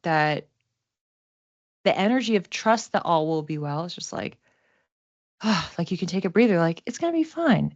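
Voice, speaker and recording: Woman, lowish voice, casual oracle card reader, conversational and halting, gently reassuring, dry dull lo-fi recording. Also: no room sound. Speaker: host giving psychic oracle card readings. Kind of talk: casual, improvised card reading. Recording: dull, muffled lo-fi sound.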